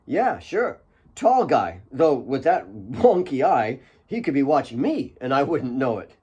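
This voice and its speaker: A man's voice: mid-range voice, sing-song, unhurried, warm tone, husky. A man voicing a jolly, cheeky young mine worker in his twenties, joking and cheerful, in an audiobook.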